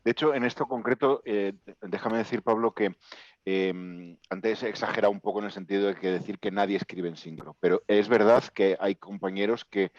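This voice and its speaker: A man from Bilbao with a lowish voice urges listeners on in short, direct commands, encouraging and plain-spoken. His voice comes through a dull, lo-fi video call, dry with no room sound. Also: steady tone, normal speed, no echo. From Bilbao, Spain. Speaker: company CEO. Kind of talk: webinar panel answer.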